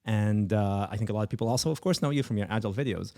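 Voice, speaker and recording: A man from Poland hosting an interview podcast, talking quickly with frequent restarts. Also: lowish voice, dark tone. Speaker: podcast host.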